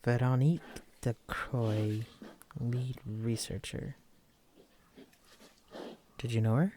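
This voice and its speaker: A wary, protective escapee with uncanny mental gifts, acted by a man in an immersive horror audio drama. He speaks straight to his companion in a medium-pitched male voice. He stays watchful and guarding. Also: steady tone, slow pace, noisy background, natural voice. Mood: curious, wry. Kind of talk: immersive roleplay audio drama, addressing the listener.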